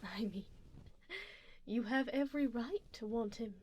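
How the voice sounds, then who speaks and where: A woman voicing a haughty, doting vampire duchess in an ASMR roleplay, speaking formally and softly, close to the microphone, with drops into whispers. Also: a little high, unhurried, slight background noise, close to an everyday voice. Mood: indulgent, composed. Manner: formal, aristocratic phrasing, soft and breathy with drops into whispers. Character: haughty, doting female vampire duchess, refined and coolly menacing. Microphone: close binaural ASMR microphone.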